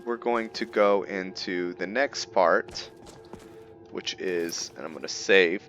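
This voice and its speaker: A man's voice: deep voice, takes it slowly, fairly bright tone, faint music behind. A man giving casual let's-play commentary, thinking aloud and narrating his own moves as "we". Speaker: gameplay video creator.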